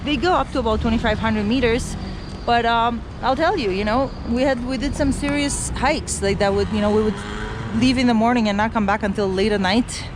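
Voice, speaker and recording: A woman's voice: on the high side, fairly quick. Chatty middle-aged woman from Romania walking outdoors. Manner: chatty, rambling, with many fillers and restarts. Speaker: travel vlogger. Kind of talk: casual walk-and-talk vlog. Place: city street outdoors. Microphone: handheld camera while walking. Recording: outdoor street noise and traffic.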